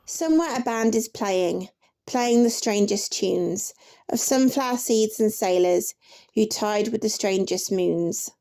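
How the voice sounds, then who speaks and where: A woman reading literary excerpts aloud as a narrator, in a measured, lyrical way. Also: mid-range voice, dark tone. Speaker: reader of book excerpts.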